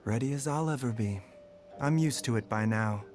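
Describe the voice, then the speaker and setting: A man voicing a calm, weary doctor in a video game, soft and resigned. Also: mid-range voice, moderate pace, faint music behind, close to an everyday voice.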